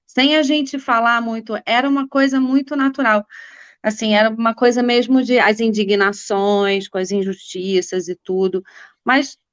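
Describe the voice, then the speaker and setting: A woman's voice: on the high side, flat delivery, normal speed, smooth, no echo, muffled recording. A woman in her forties, a political activist guest, telling her life story in a rambling, casual way with many fillers and restarts. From Brazil.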